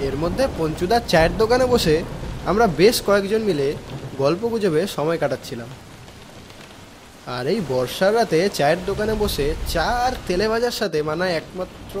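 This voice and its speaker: A man's voice: high-pitched, noisy background. A man with a West Bengal accent playing a young tea lover who narrates a cartoon ghost story in the first person. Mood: engaged, curious. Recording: rain and thunder sounds behind.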